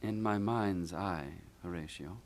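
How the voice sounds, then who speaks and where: A man's voice: deep voice, unhurried. A man playing a grieving, bitter young prince in theatrical Shakespearean style, speaking in a mournful, declamatory way. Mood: sardonic, wistful. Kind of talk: theatrical Shakespearean stage acting for puppet show.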